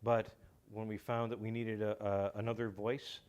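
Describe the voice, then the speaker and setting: Low-voiced man, halting and courteous. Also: flat delivery, a little mellow, clear, resonant tone. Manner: halting, repeats words, courteous. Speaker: guest, radio show writer. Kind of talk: casual interview chat. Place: community television studio.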